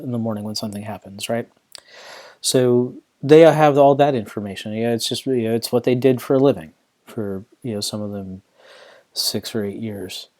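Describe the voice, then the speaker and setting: A man talking on his own podcast, thinking aloud as he goes. He circles back and restarts his sentences, leaning on "you know" as he feels his way toward each point. His voice sits at an ordinary middle pitch for a man. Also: darkish tone. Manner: rambling, many restarts and "you know" fillers. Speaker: podcast host. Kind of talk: casual solo podcast commentary.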